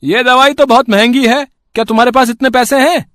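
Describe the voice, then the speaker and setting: A man's voice: extremely high pitch, steady tone, a little fast, fairly bright tone, no echo, clean studio sound. A man voicing a greedy, curt and dismissive shopkeeper in an amateur moral story. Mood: scornful, demanding. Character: harsh, greedy male pharmacy shopkeeper, high-pitched and scornful.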